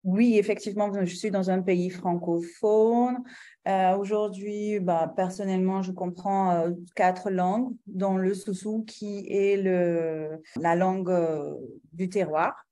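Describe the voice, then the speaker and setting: A middle-aged woman from Guinea speaking as an interview guest and mother. She talks slowly and hesitantly, with many fillers and restarts and light laughs. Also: mid-range voice, fairly even intonation, warm tone. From Guinea, of Lebanese descent. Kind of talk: conversational interview.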